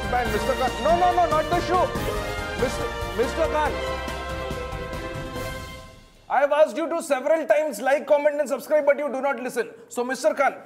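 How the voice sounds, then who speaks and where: A self-important yet awkward host of a parody talk show. His voice is extremely high for a man, with an Indian accent. He speaks haltingly and pleads in a whiny, repetitive way. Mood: pleading. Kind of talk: scripted parody celebrity interview.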